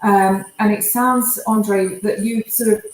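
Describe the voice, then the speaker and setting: A woman hosting a live stream, chatty and warm to her guest, often hedging with "sort of". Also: mid-range voice, fairly even intonation, slow pace, echoey room, slight background noise, poor audio. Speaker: host.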